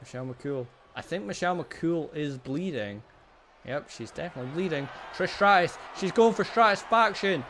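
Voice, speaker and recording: A young man doing lively, self-amused play-by-play of a wrestling video game, repeating each call, his voice getting hoarse. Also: fairly high pitch, moderate pace, slight background noise. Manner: excitable, repeats each call, laughs at himself. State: voice going hoarse. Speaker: gaming channel host.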